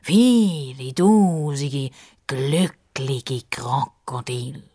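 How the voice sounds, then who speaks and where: A woman voicing a self-important, dreamy male crocodile in a children's story, with a pompous, deliberate delivery and a Swiss German accent. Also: a bass voice, mellow, voice pushed down, full character voice. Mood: grandly dreamy.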